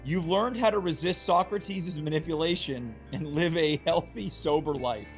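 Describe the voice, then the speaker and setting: A man reading the part of a calm, encouraging male rehab doctor in a script read. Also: a little high, moderate pace, soft background music, natural voice, poor audio. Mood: reassuring. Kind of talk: amateur table read.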